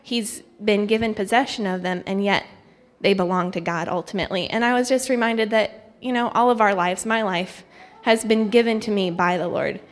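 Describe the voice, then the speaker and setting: A woman's voice: medium pitch, moderate pace, few pauses. A young woman who grew up in Thailand gives an informal church testimony, speaking conversationally with a self-deprecating touch. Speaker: guest speaker, missionary candidate. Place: church hall, speaking to congregation. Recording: echoey hall with long reverb.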